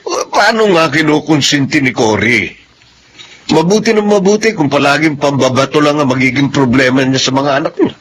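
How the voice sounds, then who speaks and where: A man's voice: on the high side, brisk, a bit bright, slight background noise, close to an everyday voice. A man with a Filipino accent playing a sensible, disapproving neighbour in a radio drama.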